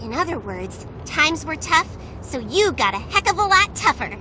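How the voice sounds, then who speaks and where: A woman voicing a small, cheeky, excitable fairy sidekick in a video game, bubbly, teasing and theatrical. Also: high-pitched, normal speed, noisy background. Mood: wry. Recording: loud music under the voice.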